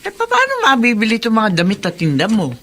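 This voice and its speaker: A chatty, commiserating middle-aged parent in a radio drama. The voice is high, sharp and very lively in pitch. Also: male voice, fast, slight background noise, exaggerated voice. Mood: concerned, chatty. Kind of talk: Filipino radio drama acting.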